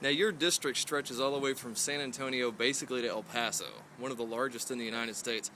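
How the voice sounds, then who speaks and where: A man interviewing a politician, asking brisk, friendly questions. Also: medium pitch, steady tone, bright, forceful tone, slight background noise.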